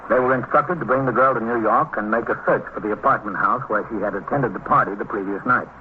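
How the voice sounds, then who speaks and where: An actor playing a sober American police captain, narrating a radio drama case in a measured, factual, official manner. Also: male voice, on the low side, lightly acted. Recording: tinny, noisy old radio recording.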